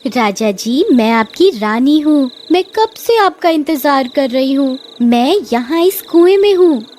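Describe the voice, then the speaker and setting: A woman voicing a sly, flirtatious witch who coaxes and teases with drawn-out calls in a cartoon horror story. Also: high-pitched, expressive, a little mellow, a little hiss or hum. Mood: gleeful, luring. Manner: coaxing, teasing, drawn-out calls. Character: sly flirtatious female witch luring men into a well.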